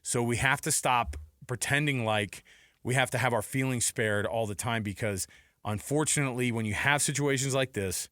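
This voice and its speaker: A man's voice: mid-range voice, moderate pace, fairly bright tone, no echo, studio quality. A middle-aged man co-hosting sports radio, giving emphatic opinions with rhetorical questions and repeated points.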